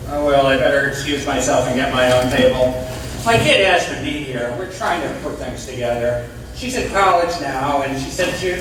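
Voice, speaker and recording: A man's voice: fairly high pitch, recorded from a distance, noisy background, lightly acted. An actor in a live stage play, playing a blunt, coarse-spoken, self-deprecating middle-aged father, recorded in an echoey room from afar. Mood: wry, friendly.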